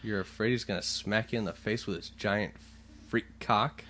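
A man co-hosting a casual podcast, talking louder than his partner and joking with plenty of swearing. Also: fairly low pitch, fairly even intonation, moderate pace, a little hiss or hum. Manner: louder, leans into the mic, joking and profane. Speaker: podcast co-host. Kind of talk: casual chat.

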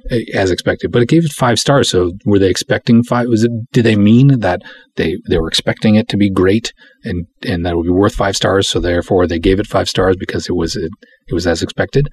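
Husky, lowish-voiced man hosting a podcast. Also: brisk, darkish tone. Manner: rambling, self-deprecating, conversational. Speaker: podcast host. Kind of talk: casual solo podcast chat, reading aloud.

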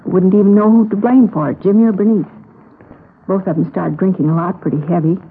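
A woman's voice: medium pitch, steady tone, a little fast, lightly acted. Actress as an earnest, worried woman on tinny old radio. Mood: uneasy recounting. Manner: plainspoken, earnest. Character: earnest, worried young woman reporting missing sister. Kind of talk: 1950s radio police drama. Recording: tinny vintage radio recording, noisy.

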